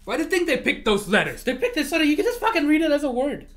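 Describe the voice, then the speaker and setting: Animated, ranting male streamer. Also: very high-pitched, racing. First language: not English. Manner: animated ranting, swears freely, laughs often. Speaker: livestreamer. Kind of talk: casual livestream chat.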